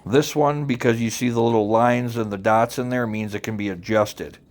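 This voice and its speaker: A man narrating an unscripted software tutorial, informally walking the listener through it step by step. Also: mid-range voice, fairly even intonation, moderate pace. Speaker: tutorial presenter.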